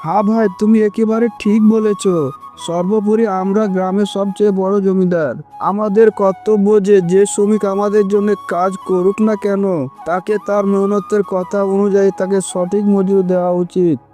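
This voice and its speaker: A man voicing a self-satisfied young landlord's son in a cartoon, speaking in a measured, patronising way. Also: high voice, flat delivery, mellow, soft background music, close to an everyday voice. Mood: pleased. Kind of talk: cartoon dialogue acting.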